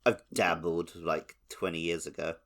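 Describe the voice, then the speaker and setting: A man's voice: sits low, flat delivery, moderate pace, a bit bright. A man co-hosting a podcast, talking casually about video games. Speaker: podcast co-host.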